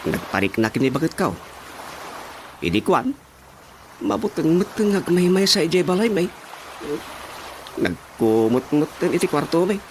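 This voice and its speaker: A man's voice: a little high, very animated, unhurried, pauses often, slight background noise. A man acting a sheepish village councilman in an Ilocano radio drama comedy, stammering hesitantly, with an Ilocano accent. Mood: excusing himself. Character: sheepish village councilman making excuses to his boss. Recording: loud music behind the voice.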